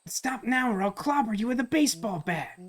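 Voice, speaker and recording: An excitable boy hero from a video game, acted by a man, pitched high. He speaks with urgent, challenging defiance and sounds quick to doubt whatever he is told. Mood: defiant threatening.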